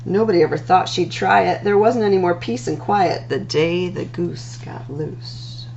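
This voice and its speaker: A woman reading rhyming picture books aloud as a storytime host, in an expressive, singsong delivery with drawn-out words. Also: on the low side, vocal fry, highs cut off, slight background noise.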